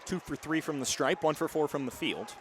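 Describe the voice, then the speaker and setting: A man's voice: a little high, fairly quick. A man in his early twenties calling live basketball play-by-play in punchy, clipped phrases. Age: early 20s. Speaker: radio play-by-play basketball announcer. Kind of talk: live sports commentary. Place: courtside in a basketball arena. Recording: loud arena crowd and court noise. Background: crowd, bouncing basketball.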